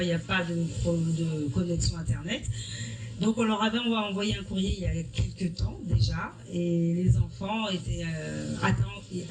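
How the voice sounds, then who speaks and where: A middle-aged woman from Africa, a teacher answering interview questions earnestly and often restarting her sentences. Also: lowish voice. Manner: earnest, explanatory, with frequent restarts. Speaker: history-geography teacher. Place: evening school event. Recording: distant mic with noisy room.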